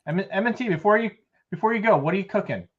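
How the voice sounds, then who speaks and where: A man's voice: high-pitched, lively intonation, quick pace. A man co-hosting a casual livestream, enthusiastic and stumbling over his words. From South Texas. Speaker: livestream co-host. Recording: lo-fi stream audio.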